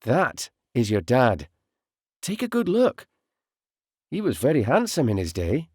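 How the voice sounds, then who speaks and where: A man voicing a plain-spoken, gentle mother in an audiobook story. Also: mid-range voice, wildly swooping pitch, a little slow, breathy edge, no echo, clean studio sound, exaggerated voice. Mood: soft, sympathetic. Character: narrator's mother, a sighing, softly sympathetic woman.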